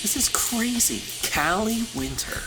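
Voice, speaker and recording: An actress playing an exuberant, foul-mouthed HR staffer greeting people like old friends in an audio drama. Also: female voice, on the high side, expressive, measured pace, loud music behind the voice, low-quality recording. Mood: warm, upbeat. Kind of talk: naturalistic audio drama acting.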